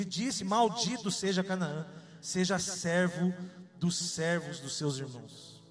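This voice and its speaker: A man with a high voice preaching in an easygoing, conversational way, often turning to his listeners with a question. He was born in Salvador, Bahia. Faint music plays behind him. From Salvador, Bahia, Brazil. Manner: conversational, asks the audience questions. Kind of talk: sermon.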